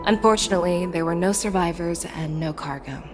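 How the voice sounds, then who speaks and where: An actress voicing a composed female envoy from a fantasy game, with a low voice and formal, articulate delivery. She sounds measured and official, with music clearly playing underneath. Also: close to an everyday voice. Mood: businesslike. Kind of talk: video game voice acting.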